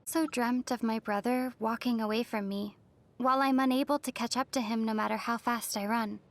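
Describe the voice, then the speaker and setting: A woman voicing a young female adventurer in a video game, gentle and lightly teasing in manner. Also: on the high side, steady tone, moderate pace, close to an everyday voice. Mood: wistful. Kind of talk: video game character acting.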